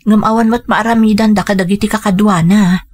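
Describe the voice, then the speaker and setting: A woman acting a gentle, caring family woman in an Ilocano radio drama, speaking in an earnest, measured way. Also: medium pitch, fairly even intonation, natural voice. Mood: concerned.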